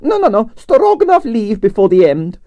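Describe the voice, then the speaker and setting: A grand Russian prima ballerina. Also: male voice, huge pitch swings, normal speed, no echo, dull audio, full character voice. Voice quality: high, swooping, theatrical. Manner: broken English, grand declarations. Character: flamboyant aging Russian ballerina, imperious and candid.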